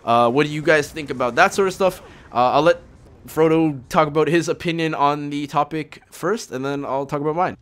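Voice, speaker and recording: A man's voice: fairly high pitch, brisk, fairly bright tone, smooth. A young man hosting a gaming show, chatting casually in a rambling, hedging way. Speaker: host of a gaming video series. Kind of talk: casual chat over gameplay.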